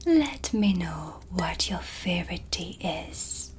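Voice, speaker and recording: A woman voicing a sly, composed dark witch in a soft, breathy, deliberate ASMR roleplay, close to the mic. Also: fairly low pitch, animated, slight background noise. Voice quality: breathy, whispery. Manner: slow, deliberate, theatrical. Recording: music behind the voice. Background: ambient music.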